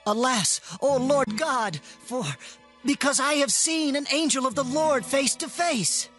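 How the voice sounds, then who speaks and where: A man's voice: extremely high pitch, a little slow, fairly bright tone, faint music behind. A man playing a humble, anxious Israelite warrior who speaks earnestly and emotionally in a scripture audio drama. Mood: frightened.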